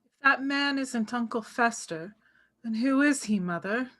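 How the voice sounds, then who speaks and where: A woman's voice: a little high, unhurried, fairly bright tone. A woman playing a solemn, inquisitive young gothic daughter in a table read, questioning earnestly. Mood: puzzled.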